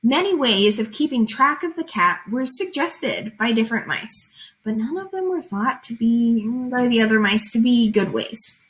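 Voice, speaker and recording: A woman's voice: on the high side, big pitch swings, moderate pace, fairly bright tone. A woman reading fables aloud and explaining them as a teacher, in an informal, conversational way with guiding questions.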